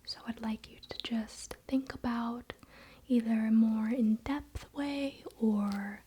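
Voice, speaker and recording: A woman whispering breathily in an unhurried, confiding ASMR style, speaking directly to the viewer. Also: on the high side, fairly even intonation, dark tone, slight background noise. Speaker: ASMR video creator.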